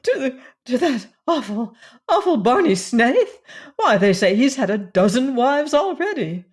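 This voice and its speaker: A fretful, fluttering old woman cousin, as a woman reader puts her on, pitching her voice up high to plead and fuss. The delivery is slow and drawn out, nervous and tearful. It is a storybook reading. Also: big pitch swings, a little mellow, exaggerated voice. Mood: pleading fussy. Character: fussy elderly woman cousin, anxious, matchmaking, tearful.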